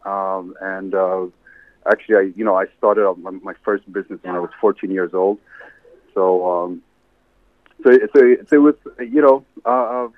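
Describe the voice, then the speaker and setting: A man talks over a tinny, phone-like line. His voice sits a little low, and the sound is dry and close. He rambles and stops to restart his sentences as he tells his story to the host. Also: no room sound. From Sweden. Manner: rambling, halting, many restarts. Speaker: interview guest, entrepreneur. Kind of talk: casual interview answers. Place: salad bar.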